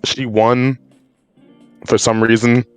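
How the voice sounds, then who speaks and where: A man's voice: medium pitch, flat delivery, takes it slowly, clean tone, faint music behind. A man in casual podcast chat, talking in a loose, slangy way with stumbling restarts.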